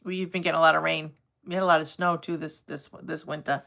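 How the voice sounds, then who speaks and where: Woman, Boston accent, live-stream host; casual, rambling, warm greetings to viewers. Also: low voice, steady tone, fast, vocal fry. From Massachusetts, south of Boston. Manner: chatty, rambling, friendly, laughs easily. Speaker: livestream host.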